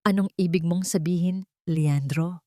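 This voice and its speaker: A woman's voice: fairly low pitch, steady tone, moderate pace, mellow, dry recording, studio quality, natural voice. A woman voicing a wounded, resolute wealthy young woman in a solemn, dramatic audio story. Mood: faint, shaken.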